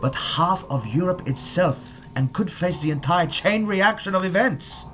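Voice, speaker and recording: A man acts out an overworked rocket scientist from a wartime audio drama. The voice is on the high side, protesting with earnest exasperation, with music clearly behind it. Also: lively intonation, unhurried, fairly bright tone. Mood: exasperated. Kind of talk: fan audio drama acting.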